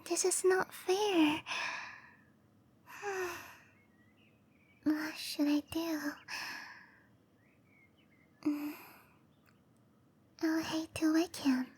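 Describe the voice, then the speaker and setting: Woman voicing a soft, high-pitched dryad girl. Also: steady tone, heavily acted. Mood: torn, concerned. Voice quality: soft, breathy, often whispered. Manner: musing to herself, slow and tender. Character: gentle cherry blossom dryad girl, sweet and caring. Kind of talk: ASMR roleplay.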